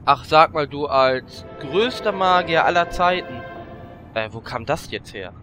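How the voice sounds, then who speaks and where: A man's voice: on the high side, lively intonation, unhurried, over music. A man playing a whiny, cheeky gnome adventurer in a fantasy parody radio play, rambling and complaining. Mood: pleading.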